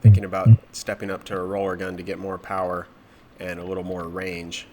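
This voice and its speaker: A man with a deep, low voice narrates dive footage in a relaxed, explaining way. His nose is stuffed up from a cold. A faint noise sits under the voice-over. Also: a little fast. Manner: relaxed, matter-of-fact explaining. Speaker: spearfishing vlogger.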